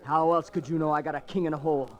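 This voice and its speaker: An actor playing a defiant, combative young cowboy with folksy, drawling speech in an old Western radio drama. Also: male voice, on the high side, level tone, racing, a little mellow, natural voice. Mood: angry, defiant.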